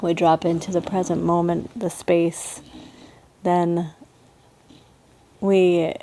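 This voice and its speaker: A woman leading a guided meditation as a yoga teacher, speaking in a soothing, gentle manner with unhurried pauses. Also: on the low side, little pitch movement, slight background noise, low-quality recording.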